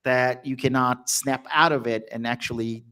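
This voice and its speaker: A man's voice: medium pitch, steady tone, normal speed, sharp tone, clear, resonant tone. Brazilian man in casual podcast talk. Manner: agreeable, affirming, guides the talk. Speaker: co-host, professor. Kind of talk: casual educational podcast chat.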